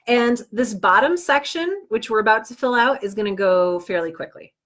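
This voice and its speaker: A woman's voice: a little high, lively intonation, moderate pace, a bit bright. A woman presents an informal tutorial to live viewers. She talks in a chatty way, breaking off into self-deprecating asides. She is slightly under the weather as she speaks. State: under the weather with cold or flu, on medication. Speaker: livestream host teaching podcast business planning.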